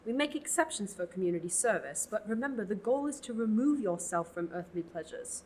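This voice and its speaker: A woman's voice: medium pitch, animated, brisk, darkish tone, slight background noise, lightly acted. A teen girl playing a fussy, exasperated abbess. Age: teens. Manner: sighing and theatrical. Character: exasperated, fussy middle-aged abbess nun. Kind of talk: student radio play.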